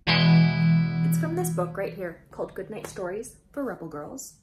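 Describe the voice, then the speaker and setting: A woman in her thirties reading a storybook aloud to children, expressive and emphatic, addressing them directly. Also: mid-range voice, measured pace, faint music behind. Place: indoors, small room, close to camera.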